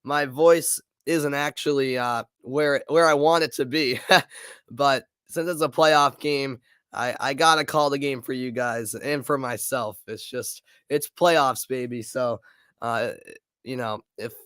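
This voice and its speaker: A congested man with a slightly sore throat talks through a live intermission report, loose and chatty, with plenty of fillers. His voice is smooth and close to the mic, in a dry room with no echo. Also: mid-range voice, unhurried, fairly bright tone. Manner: casual, chatty, frequent fillers and restarts. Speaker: play-by-play commentator. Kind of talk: live stream intermission commentary.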